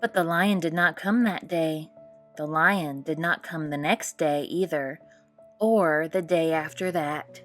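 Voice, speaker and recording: A woman with a fairly low voice reads a children's picture book aloud. Her narration is expressive, made for storytime. Faint music plays behind her. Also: unhurried. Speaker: storytime read-aloud host.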